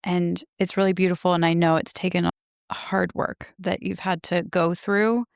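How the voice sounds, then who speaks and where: Podcast host woman, low pitch, vocal fry, smooth tone, dry sound. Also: flat delivery, normal speed, dry recording. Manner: admiring, gentle, effusive. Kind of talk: warm interview chat.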